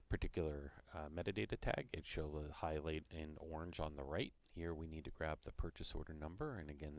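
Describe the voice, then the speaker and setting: A man's voice: rumbling low, no room sound. A man presenting an informal screen-share product demo, explaining things step by step.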